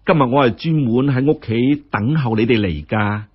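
A man's voice: mid-range voice, normal speed, slightly rough, close to an everyday voice. A man voicing a genial, stout, wealthy lady in her forties in a story, breezy and magnanimous. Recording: dull, muffled lo-fi audio.

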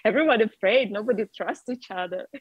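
A woman's voice: mid-range voice, a little fast, husky, no room sound, lo-fi sound. A woman in her thirties with a Russian accent, chatting as the guest on a video call interview through wireless earbuds, with lots of fillers and laughter. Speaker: artist guest. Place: bright room with a wooden ceiling.

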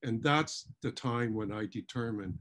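An older man speaking in a measured way as a panelist on a video call, pausing to clear his throat. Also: mid-range voice, a bit bright, a little hiss or hum. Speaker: panelist, historian. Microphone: webcam on a video call.

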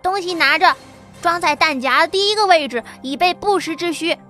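High bright kid voice, clever little gun maker, brisk and to the point, a woman doing it. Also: faint music behind. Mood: businesslike. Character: boy, clever young weapon maker.

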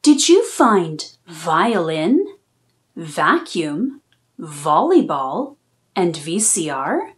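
Slow, lively woman reading to kids. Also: fairly low pitch. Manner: expressive, deliberate phrasing for small children. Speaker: children's read-aloud host. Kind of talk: storybook read-aloud for young children.

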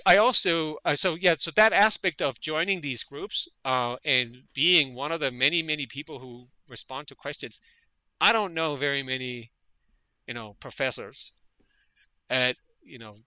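A man's voice: mid-range voice, normal speed, pushed and bright, no echo, rough recording. A man from Denmark, a veterinary parasitologist, speaking as a guest in an interview. He talks earnestly and often repeats words as he gathers his thoughts. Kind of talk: conversational interview.

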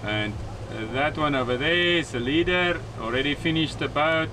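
A man's voice: a little high, moderate pace. Man commenting live from a sailboat. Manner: excited, commenting on a race as it happens. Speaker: sailing vlog host. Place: outdoors on a sailing catamaran at sea. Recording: windy outdoor noise. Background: wind and water noise.

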